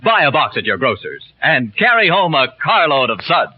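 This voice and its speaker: A man's voice: mid-range voice, big pitch swings, normal speed, over music. A man reading a scripted 1940s radio advertisement as a commercial announcer. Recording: dull vintage radio recording.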